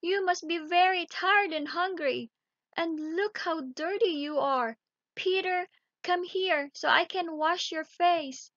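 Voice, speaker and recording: A woman narrator acts out a woodcutter's wife from a children's tale, a loving and emotional mother. Her voice is lifted very high and carries strong feeling. The delivery is unhurried and the sound is dry. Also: fairly bright tone, no room sound, highs cut off, full character voice. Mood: joyful, fussing. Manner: wailing, emotional.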